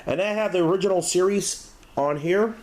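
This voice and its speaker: A man with a slightly high-pitched voice presents a homemade-style, off-the-cuff review. His delivery is halting, and he often trips over words and starts them again. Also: a little mellow, slight background noise. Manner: halting, stumbling over words when reading aloud. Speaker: DVD reviewer, show host. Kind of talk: casual unscripted video review.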